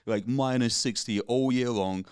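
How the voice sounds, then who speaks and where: A man's voice: medium pitch, measured pace, darkish tone, clear, resonant tone, dry recording, studio quality. A Russian-born man in his forties who grew up in England, speaking in a laid-back, swear-heavy chat as a podcast guest. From Russia, raised in England. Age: 40s. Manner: laid-back, swears freely, British slang. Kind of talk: casual interview chat.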